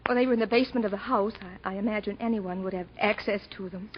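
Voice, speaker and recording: An actress playing an anxious, earnest young defendant in 1940s radio drama style, hesitant and defensive under questioning. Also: female voice, medium pitch, fairly even intonation, breathy edge, close to an everyday voice. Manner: hesitant, defensive, quick to answer. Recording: muffled 1940s radio broadcast recording.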